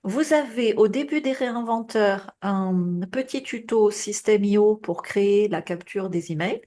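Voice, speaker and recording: A woman coaching on a group call in a relaxed, chatty way, heavy with fillers and check-ins, her voice a bit worn with coughing. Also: mid-range voice, unhurried. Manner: casual, advising, many fillers and tu vois. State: coughing, voice tiring. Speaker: business coach.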